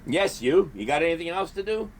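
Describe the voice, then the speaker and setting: An actor playing a brash, foul-mouthed young monster hunter in a comedy horror audio drama, streetwise and exasperated. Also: male voice, on the high side, big pitch swings, fairly quick, slight background noise. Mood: bossy.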